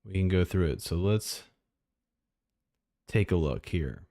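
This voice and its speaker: A man's voice: sits low, lively intonation, a little slow, mellow. A man chatting casually and thinking aloud as a live streamer reacting to game trailers. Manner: rambling, informal, thinking aloud.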